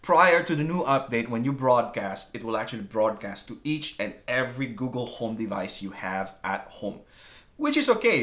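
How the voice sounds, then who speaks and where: A man's voice: mid-range voice, fairly bright tone. Male tech channel host, casual and chatty, upbeat delivery for a how-to video.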